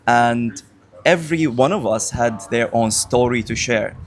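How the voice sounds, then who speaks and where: A man from Syria hosting a relaxed, informal interview and chatting easily with his guests. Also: medium pitch, measured pace. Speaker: host. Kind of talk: casual interview.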